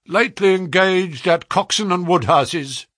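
A man voicing a brisk, sharp-talking middle-aged male financier in a read-aloud story, speaking eagerly and quickly. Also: high voice, dry recording, studio quality, pitched above the performer's own voice.

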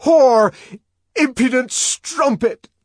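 A tormented young savage who shouts and rants lines of verse, as a male narrator acts him out. His husky voice rises high and loud, leaping widely in pitch. The sound is close and dry. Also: expressive, slow pace, no echo, heavily acted. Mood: enraged.

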